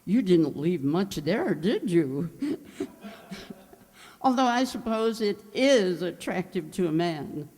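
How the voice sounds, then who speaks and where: A woman playing a scatterbrained, kindly, nosy older landlady in a comedic old-time radio show, dithery and unhurried. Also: lowish voice, expressive, darkish tone, husky, full character voice. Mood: astonished, teasing. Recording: hall-like, long reverb.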